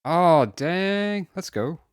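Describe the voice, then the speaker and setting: A man's voice: high-pitched, expressive, drawn-out words, clean tone, no room sound. Casual male streamer, close dry mic, chatty and upbeat. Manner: chatty, laughs often, greets viewers brightly. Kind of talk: casual livestream chat.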